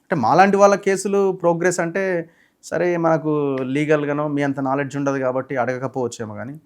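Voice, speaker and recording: A man's voice: on the high side, steady tone, fairly quick. A man interviewing in a conversational, probing way. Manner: probing, interjects short acknowledgements. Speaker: journalist interviewer.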